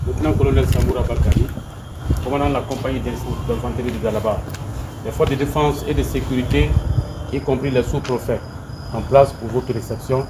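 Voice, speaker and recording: A man, an officer, briskly calling out introductions of dignitaries in a loud voice. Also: mid-range voice, steady tone. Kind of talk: formal introductions. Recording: far from mic, loud traffic and insects.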